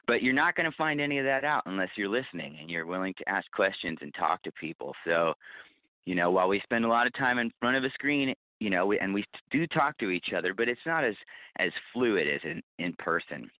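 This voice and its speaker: Low-voiced man on a phone-like line. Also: sing-song, brisk, no room sound. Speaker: podcast producer being interviewed. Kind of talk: conversational interview. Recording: phone-like narrow-band connection, dry.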